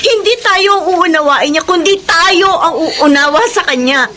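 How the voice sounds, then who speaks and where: A woman's voice: very high voice, moderate pace, noisy background. A woman playing a devoted, suffering mother in a Filipino radio drama, earnest and emotional, with a Filipino accent. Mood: pleading, upset. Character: devoted, suffering mother pleading for her special son.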